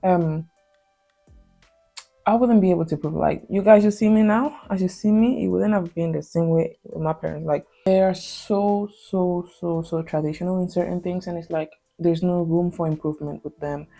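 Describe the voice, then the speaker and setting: Spanish-accented woman, low creaky voice. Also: fairly even intonation, normal speed, mellow, soft background music. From Spain. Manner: hesitant, heavy on fillers and restarts. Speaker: vlogger. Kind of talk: confessional casual vlog talk.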